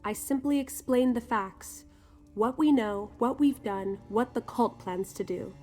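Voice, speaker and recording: An earnest, high-voiced young fighter. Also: female voice, measured pace, a little mellow, soft background music. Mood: modest, thoughtful. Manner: earnest, conversational. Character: earnest, eager young female fighter, the general's daughter. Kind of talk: scripted video game character dialogue.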